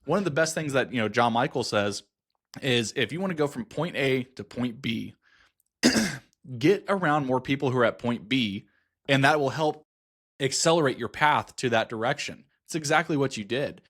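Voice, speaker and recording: A man's voice: medium pitch, lively intonation, moderate pace, a bit bright, breathy edge. Male podcast host, encouraging, chatty. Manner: encouraging, talks through points at length with restarts. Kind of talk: interview podcast.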